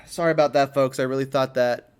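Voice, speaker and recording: A man hosting a comedy podcast in a mock interview style, informal and halting, with an exasperated manner. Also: mid-range voice, fairly even intonation, clear, resonant tone. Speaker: podcast host.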